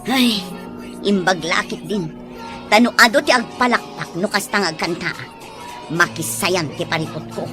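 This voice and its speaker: A woman's voice: mid-range voice, moderate pace, over music, lightly acted. A woman playing a stern, anxious, questioning figure in an audio drama, with a Filipino accent. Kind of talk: Ilocano audio drama acting.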